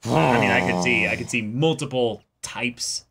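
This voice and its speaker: Bright-voiced man, chatty co-host. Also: medium pitch, normal speed, vocal fry. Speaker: reaction show co-host. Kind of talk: casual anime discussion chat.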